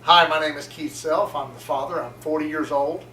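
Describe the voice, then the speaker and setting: A man's voice: on the high side, fairly even intonation, moderate pace, pushed and bright. A forty-year-old man from Georgia introducing himself as the dad in a casual home video, a bit stiff and self-conscious. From Atlanta, Georgia, USA. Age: 40s. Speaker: father interviewed about home Christmas lights. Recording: roomy echo, from some distance, faint noise.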